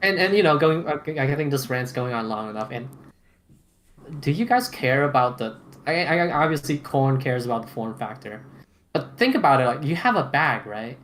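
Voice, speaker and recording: A man's voice: medium pitch, very animated, moderate pace, a bit bright. A man chatting casually as a podcast panelist, rambling emphatically in slangy, informal language. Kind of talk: casual roundtable chat. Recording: clearly noisy background ambience.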